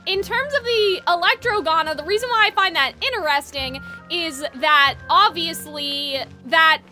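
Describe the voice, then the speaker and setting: A woman with a very high voice hosts a live video game stream. She talks casually to her chat in an informal, exclamatory way, dropping swear words. Music plays clearly behind her. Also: unhurried, bright, forceful tone.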